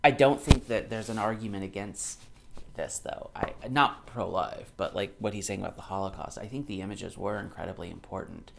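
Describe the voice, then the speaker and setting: A man's voice: low voice, moderate pace, gravelly, noisy background. A man in his thirties giving casual commentary on a book, argumentative and emphatic, repeating himself to drive points home. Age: 30s. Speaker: commentator reading a book aloud and responding. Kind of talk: casual reaction commentary, alternating reading aloud and off-the-cuff response.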